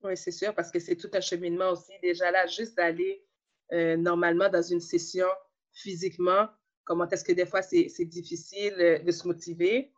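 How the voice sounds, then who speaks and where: A radio host leads the interview, asking reflective follow-up questions at a middle pitch. The sound is a little dull and lo-fi. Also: flat delivery, moderate pace.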